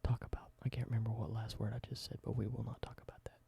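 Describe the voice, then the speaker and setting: A man in a whispered ASMR roleplay, softly explaining everyday objects slowly and simply. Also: lowish voice, flat delivery, gravelly, slightly rough. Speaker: ASMR creator.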